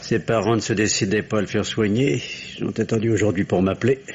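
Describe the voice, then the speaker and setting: An actor playing a weak, henpecked middle-aged country doctor in a French radio drama, hesitant and apologetic, his voice low and husky. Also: fast talker, darkish tone, slight background noise, lightly acted. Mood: sheepish. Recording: muffled old radio recording.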